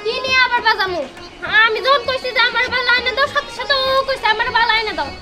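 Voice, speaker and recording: A performer voicing a jealous, quick-tempered young cartoon wife, whining and combative, with a colloquial Bangladeshi accent. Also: extremely high pitch. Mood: indignant. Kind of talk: comic cartoon bickering. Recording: loud music bed behind the voice.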